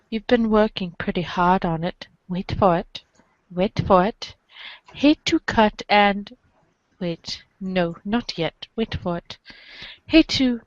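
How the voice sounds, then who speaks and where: A woman reads a script aloud as a narrator on a podcast. Her mid-pitched delivery is slow and deliberate, with a dramatic storytelling style. The sound is dry and slightly dull, like a home recording. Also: dry recording. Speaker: podcast reader.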